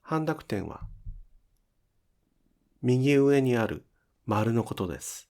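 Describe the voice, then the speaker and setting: A man's voice: medium pitch, sing-song, slow, darkish tone. A man giving scripted instructional narration as a pronunciation teacher, enunciating carefully step by step.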